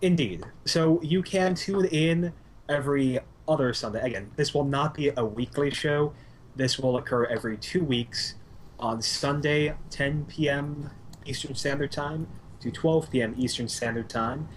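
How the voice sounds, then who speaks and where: A man co-hosting a live radio podcast, speaking haltingly with many fillers. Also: fairly high pitch, a little hiss or hum, poor audio. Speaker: co-host. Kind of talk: casual live podcast chat.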